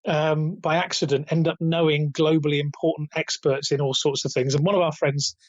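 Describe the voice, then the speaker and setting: Interview guest: raspy, slightly high-pitched man in his fifties, halting delivery with restarts, dry muffled call audio. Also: little pitch movement, dry recording. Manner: hesitant, many restarts, chuckles between points. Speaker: guest, retail author and company founder. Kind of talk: casual interview over a call.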